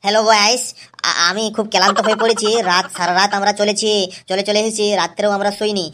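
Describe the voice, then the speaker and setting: Man as a fast-talking, weary cartoon pilgrim. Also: sits high, little pitch movement, background noise, natural voice. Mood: weary, chatty. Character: weary young male pilgrim, chatty and devout. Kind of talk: amateur cartoon dialogue, vlog-like.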